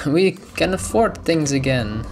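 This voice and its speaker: A man whose first language is Dutch, talking casually through a gameplay session with frequent ums and soft chuckles. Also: on the high side, animated, slow pace, noisy background. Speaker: gamer. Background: video game music.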